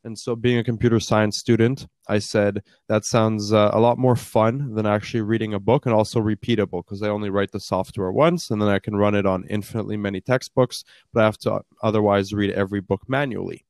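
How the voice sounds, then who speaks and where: A young man in his twenties with a deep voice, answering interview questions in a fluent, storytelling way with frequent fillers. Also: steady tone, brisk, hardly any pauses, a little mellow, dry recording. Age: 20s. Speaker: startup founder guest. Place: based in Canada.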